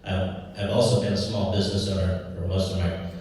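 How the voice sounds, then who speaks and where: A somewhat low-voiced man from Guam speaks haltingly, dotting his candidate speech with fillers and self-deprecating asides. He is heard from a distance in a long-reverberating hall. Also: level tone, a little mellow. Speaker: senatorial candidate. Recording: echoey hall from far off.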